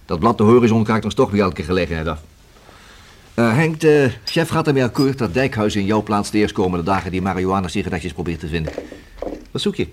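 An actor in a Dutch radio play playing a methodical, collegial senior police detective who explains things conversationally, with small hesitations. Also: male voice, lowish voice, fairly quick, natural voice.

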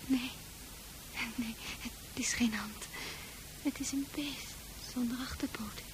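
An actress playing an agitated, frightened female psychiatric patient in a radio drama, halting and repetitive. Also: sits high, a little mellow, a little hiss or hum, low-quality recording.

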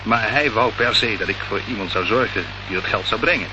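An actor playing a concerned, inquisitive associate of a private investigator in a radio play, conversational and a little hesitant. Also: male voice, on the low side, a little fast, natural voice. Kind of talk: Dutch radio play acting. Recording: muffled, noisy old radio recording.

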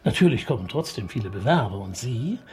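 A man's voice: lowish voice, sing-song, a little fast, darkish tone. A man playing a courteous, slightly flustered middle-aged police commissioner who loves opera, in a conversational radio crime comedy, polite, hesitant and wry. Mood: musing, enthusiastic. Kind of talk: German radio play acting, conversational.

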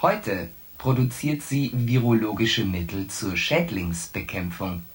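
An actor playing a coolly logical ship's artificial intelligence in a science fiction radio play, with stilted, emotionless phrasing. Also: male voice, on the low side, a little slow, lightly acted. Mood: coolly analytical.

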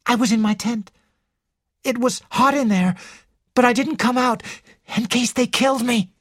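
A woman's voice: a little high, a little slow, no room sound, clean studio sound, pitched above the performer's own voice. A woman narrator voicing an intense, fearful and proud young woman in an audiobook. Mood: fearful, secretive. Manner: intense, indignant, secretive. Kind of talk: audiobook character dialogue.

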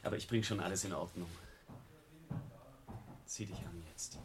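A man's voice: deep voice, normal speed, very noisy, close to an everyday voice. An actor playing a hunted man in a realistic radio drama, reassuring yet bitter.

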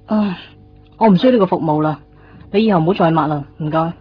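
A woman's voice: fairly low pitch, fast, husky, dry recording. A woman playing a jealous, prickly young woman in a Cantonese radio drama, with a Hong Kong accent. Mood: cold, annoyed. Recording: dull 1990s radio recording, music behind.

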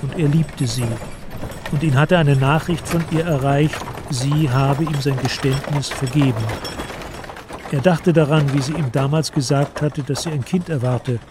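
A male narrator reads scripted literary prose for a radio drama. His voice sits slightly high and moves with measured solemnity, over a faint bed of background noise. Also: steady tone.

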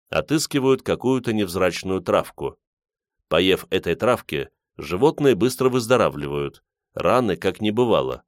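A man with a low voice reads literary prose as an audiobook narrator. The recording is close and dry, and the delivery is scripted first-person storytelling. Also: dry recording.

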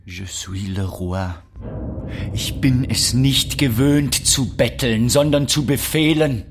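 A man's voice: mid-range voice, slow pace, noisy background. An actor playing a despairing, confused king who pleads with God in a theatrical radio play monologue. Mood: desperate, imploring. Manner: theatrical, swinging between whispers and outcries. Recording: music behind the voice. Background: music.

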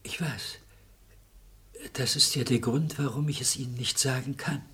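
A polite little man in a radio crime drama. The voice is very breathy and husky at a middling pitch, the manner apologetic and hesitant, yet stubbornly principled. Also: fairly even intonation, normal speed. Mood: troubled, secretive. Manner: apologetic, hesitant, softly philosophical. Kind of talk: German radio crime play acting.

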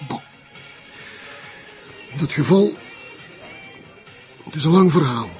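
High-voiced, careful old Flemish journalist. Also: male voice, expressive, takes it slowly, darkish tone, faint music behind. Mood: cautious. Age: older. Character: cautious old retired Flemish journalist, wry and discreet. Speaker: retired journalist. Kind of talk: TV crime drama dialogue.

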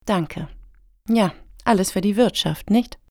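Well-off female applicant for radio satire, played by an actress: mid-pitched, flippant, pleased with herself. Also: unhurried, darkish tone, close to an everyday voice. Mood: breezy, flippant. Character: breezy, self-satisfied well-off female grant applicant. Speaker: actor in satirical radio play.

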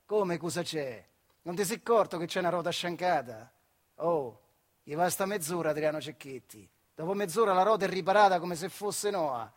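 A man's voice: high-pitched, normal speed. A man with a central Italian accent from the Marche delivering a colloquial theatrical monologue in dialect. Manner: colloquial, animated storytelling with acted voices. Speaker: stage actor and storyteller.

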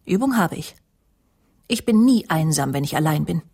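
Low-voiced, proud, biting woman in radio drama. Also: animated, normal speed, gravelly, a little mellow, husky, exaggerated voice. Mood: brooding, self-assured. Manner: cool, clipped, biting. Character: proud, easily wounded, sharp-tongued career woman. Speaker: first-person narrator and lead of a radio drama. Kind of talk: radio crime drama acting with inner monologue.

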